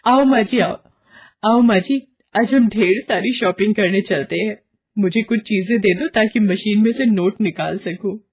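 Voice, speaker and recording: A woman voicing an excitable, greedy young wife and mother in a cartoon moral story, emphatic and melodramatic. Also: mid-range voice, unhurried, breathy edge, phone-quality sound, voice pushed up. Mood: excited, greedy.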